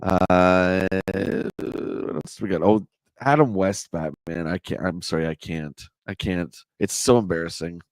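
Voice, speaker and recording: Casual livestream host, a man with a low, hoarse voice and a chatty manner, recorded dry. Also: fairly even intonation, measured pace, no echo, poor audio. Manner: chatty, answers viewer comments. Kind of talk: casual live chat reading a magazine.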